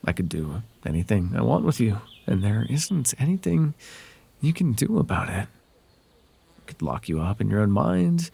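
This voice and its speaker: A man acts out a sly, whimsical fairy man in an intimate ASMR roleplay. His voice is husky and creaky, with pitch that swings expressively. He talks in a hesitant, conversational way, softly possessive underneath. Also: no room sound, heavily acted.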